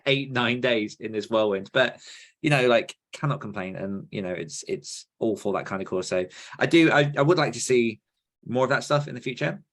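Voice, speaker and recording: A man hosting a podcast, talking casually in an informal, somewhat halting way. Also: mid-range voice, expressive, a bit bright. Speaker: podcast host.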